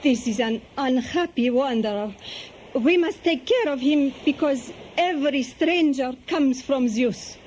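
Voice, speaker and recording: A gracious young princess of ancient Greece speaks high and slowly with formal composure. There is faint noise behind this vintage radio drama voice. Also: female voice, darkish tone, poor audio. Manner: measured, formal. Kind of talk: 1970s radio play acting.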